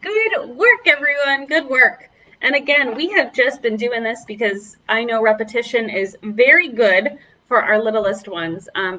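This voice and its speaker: High, bright-voiced woman hosting preschool storytime. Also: dull audio. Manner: encouraging, exaggerated child-directed delivery. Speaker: children's librarian and storytime host. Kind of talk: preschool storytime, talking and singing to young children.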